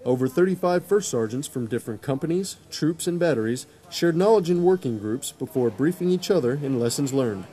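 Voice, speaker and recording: A man's voice: medium pitch, expressive, brisk, hardly any pauses, dark tone. Male military news narrator. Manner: crisp announcer delivery.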